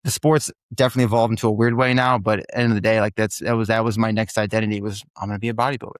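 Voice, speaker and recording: A man's voice: lowish voice, flat delivery, very fast, no room sound, clean studio sound. A man in his forties, a podcast guest telling his life story candidly and with self-deprecating humor, often restarting his sentences. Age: 40s.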